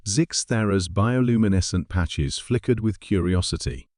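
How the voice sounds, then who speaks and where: A man reading a scripted science fiction story in a measured, dramatic storytelling manner. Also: lowish voice, a little mellow, dry recording, clean studio sound. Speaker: story narrator.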